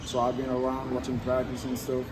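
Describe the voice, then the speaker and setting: A teenage basketball recruit from Senegal, answering interview questions in a halting, casual way, full of fillers. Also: male voice, mid-range voice, completely flat delivery, background noise. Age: teens. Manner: halting, many fillers like um and you know.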